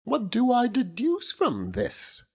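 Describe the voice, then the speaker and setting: A man performs an earnest, genteel wife and mother in a storybook reading. Her voice sits high and swings dramatically up and down, with a slightly husky edge. She argues her points with pompous, emphatic self-importance. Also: wildly swooping pitch, slow, darkish tone, exaggerated voice. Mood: argumentative, insistent. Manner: pompous, declamatory, emphatic lecturing. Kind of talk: audiobook character voice.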